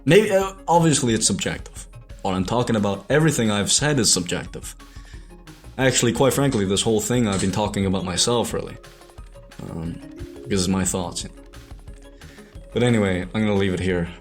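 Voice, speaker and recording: A young man from Ireland muses aloud in a slightly low voice, stumbling and restarting his sentences as he reflects. Soft music sits faintly underneath him. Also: animated, fairly quick, pauses often. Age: young adult. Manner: hesitant, rambling, many restarts and self-corrections. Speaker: animator and show creator. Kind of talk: reflective monologue to camera.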